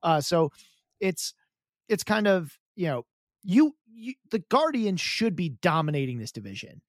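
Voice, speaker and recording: Chatty, higher-voiced podcast co-host. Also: male voice, unhurried, no echo, studio quality. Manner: chatty, animated, repeats words and restarts often. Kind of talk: casual sports chat.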